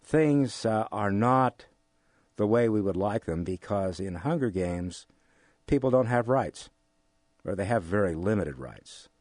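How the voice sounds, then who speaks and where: An older man with an American accent explains things in an interview, haltingly, repeating phrases for emphasis. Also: fairly low pitch, lively intonation, darkish tone, dry recording, clean studio sound. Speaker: economics instructor giving an interview.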